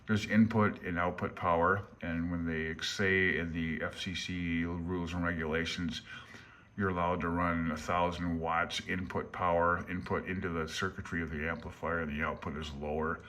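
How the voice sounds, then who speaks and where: A man's voice: deep voice, flat delivery, normal speed, sharp tone. A man giving a casual, rambling commentary on a book, plain-spoken and self-deprecating, speaking as a hobbyist rather than an expert. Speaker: amateur radio hobbyist and vlogger. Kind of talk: casual rambling commentary while paging through a handbook.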